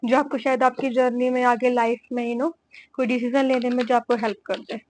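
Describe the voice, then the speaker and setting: A woman giving a tarot reading, hoarse from a cold, talking slowly and softly while mixing Hindi and English. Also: on the high side, level tone, slight background noise, rough recording. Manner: slow, soft-spoken, mixing Hindi and English. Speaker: tarot reader.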